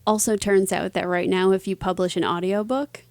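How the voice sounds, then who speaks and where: A woman being interviewed as an author, answering conversationally in a reflective way with halting phrasing. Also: mid-range voice, fairly even intonation, creaky, a little mellow, no echo.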